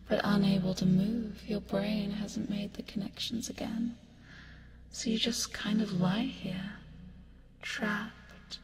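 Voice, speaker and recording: A woman playing a seductive, teasing sleep demon in an ASMR roleplay, breathy and often whispering, creepy yet soothing as she coaxes. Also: mid-range voice, background noise. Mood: sly, hushed. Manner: slow, taunting, coaxing with pet names. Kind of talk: ASMR roleplay, hypnotic bedtime delivery. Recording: long reverb, music behind. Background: music.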